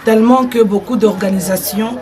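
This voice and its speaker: A woman leading an association, hoarse from a cold, answering an interviewer politely and formally. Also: on the high side, steady tone, normal speed, music in the background. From Democratic Republic of the Congo. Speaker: association president. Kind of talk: visit chat and interview answers.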